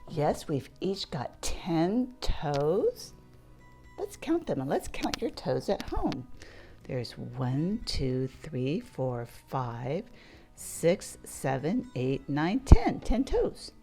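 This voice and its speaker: A woman's voice: sits low, big pitch swings, very slow, warm tone, soft background music. A woman reading a picture book aloud to young children in a playful, interactive storytelling manner. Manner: playful, asks listeners questions and points things out.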